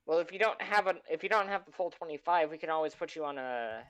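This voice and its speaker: Man voicing a high-voiced goliath estate agent. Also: lively intonation, quick pace, fairly bright tone, clear, resonant tone, no echo. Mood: businesslike. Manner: polite businesslike sales talk.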